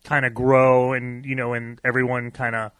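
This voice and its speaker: A man's voice: mid-range voice, monotone, moderate pace, fairly bright tone, dry recording. A man hosting a podcast, asking loose, casual questions with some swearing and stumbling repeats. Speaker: podcast host.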